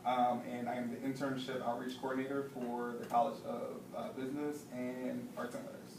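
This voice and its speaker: Man with a cold, flat voice. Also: medium pitch. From Fairfax, Virginia. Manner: halting, filler uhs. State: has a cold. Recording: echoey classroom, distant mic, noise.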